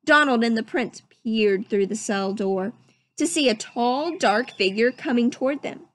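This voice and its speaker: A woman reading a children's story aloud as narrator, with expressive, dramatic storytelling. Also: fairly high pitch, a little slow. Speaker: storybook reader.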